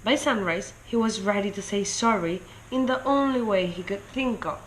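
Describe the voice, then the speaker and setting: A woman reading a children's picture book aloud in a deliberate, expressive storytelling way. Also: medium pitch, a little hiss or hum, poor audio. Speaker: story reader.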